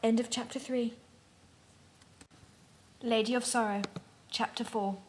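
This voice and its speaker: A woman with a high-pitched voice narrates a story as a comic dramatic reading. Her delivery is theatrical and mock-serious. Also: steady tone, a little slow, darkish tone.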